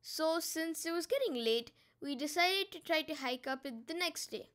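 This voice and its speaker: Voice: high voice, lively intonation, normal speed, fairly bright tone. A young-sounding female vlog host, explaining and instructing in a casual travel-vlog style.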